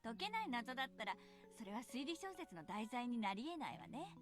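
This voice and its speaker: A coy, thoughtful middle-aged mother in a visual game, high-voiced and very animated in pitch. She teases as she explains, with music underneath. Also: racing. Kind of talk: Japanese visual novel voice acting.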